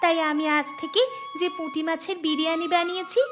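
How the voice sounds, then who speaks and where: A woman voices a young village food seller in a cartoon. Her voice is high, and she talks in an earnest, respectful, eager way. Music runs clearly underneath. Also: level tone, fairly quick. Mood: grateful. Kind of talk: Bengali cartoon dialogue acting.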